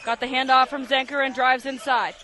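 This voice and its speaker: A man with an extremely high, bright voice does live basketball radio play-by-play. He calls the action in clipped, descriptive phrases. Faint gym noise and bouncing balls sit behind him. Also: little pitch movement, a little fast. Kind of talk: live sports radio commentary. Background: basketball game ambience, bouncing ball.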